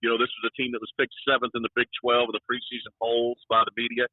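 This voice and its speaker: American sportscaster on a tinny phone line. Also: male voice, mid-range voice, monotone, quick pace, no room sound. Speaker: radio play-by-play sports announcer. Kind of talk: interview answers, conversational sports talk. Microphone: phone or remote call.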